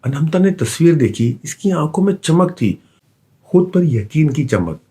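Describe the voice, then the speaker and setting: A man narrating a scripted children's bedtime story with an expressive storytelling delivery. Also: a little high, measured pace, husky.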